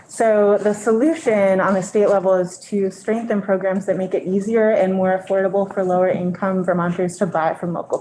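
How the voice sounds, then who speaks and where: A soft-spoken woman delivers prepared testimony to a legislative committee at a middle pitch. There is a faint hiss under the voice. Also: low-quality recording. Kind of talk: formal testimony.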